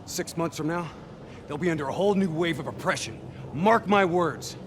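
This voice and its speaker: An actor playing a hot-headed, cynical, foul-mouthed soldier in a futuristic military video game. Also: male voice, on the high side, normal speed, background noise, lightly acted. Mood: bitter, cynical. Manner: intense, profane, blunt. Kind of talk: cinematic military game acting.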